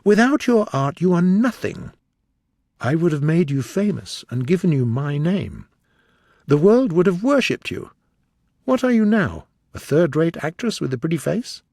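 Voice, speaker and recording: A man voicing a cruel young gentleman who scornfully rejects his lover, declaiming with passion. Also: fairly high pitch, very animated, unhurried, creaky, dry recording, clean studio sound, voice pushed up, full character voice. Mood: scornful fury.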